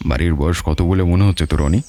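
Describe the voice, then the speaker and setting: Deep-voiced, commanding detective played by a man. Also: fairly even intonation, fast talker, dark tone, close to an everyday voice. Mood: assured, probing. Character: calm, commanding male detective, an elder-brother mentor. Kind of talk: dramatized audio detective story.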